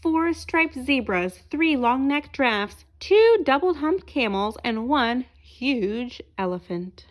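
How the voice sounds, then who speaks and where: A woman narrates a children's picture book in a high voice. Her delivery is highly animated and swings widely in pitch, with deliberate, expressive phrasing meant for young listeners. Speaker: storyteller reading a picture book aloud. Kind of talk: children's read-aloud narration.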